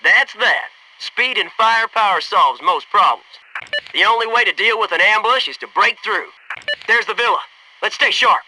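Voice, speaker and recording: High-pitched, cocky American soldier. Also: male voice, lively intonation, moderate pace, bright, forceful tone, a little hiss or hum, heavily acted, low-quality recording. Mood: alert, focused. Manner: wry, slangy, punchy military banter. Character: cocky wisecracking young male American special forces soldier. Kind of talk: scripted video game voice lines.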